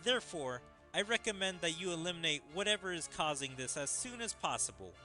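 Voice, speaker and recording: A man voicing a calm, formal analytical guide in a video game, speaking in a measured, explanatory way. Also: fairly high pitch, faint music behind, exaggerated voice. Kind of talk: reading video game dialogue aloud.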